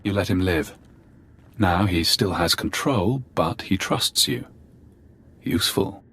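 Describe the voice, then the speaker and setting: A man playing a mysterious, cold, calculating voice inside someone's head in a video game, detached and deliberate. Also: low voice, fairly bright tone, a little hiss or hum.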